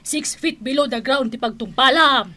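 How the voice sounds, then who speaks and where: A woman's voice: high voice, normal speed, sharp tone. A woman playing a feisty, suspicious aunt, blunt and menacing, in an Ilocano radio drama with an Ilocano accent. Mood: threatening. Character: feisty, suspicious aunt threatening intruder with a shotgun.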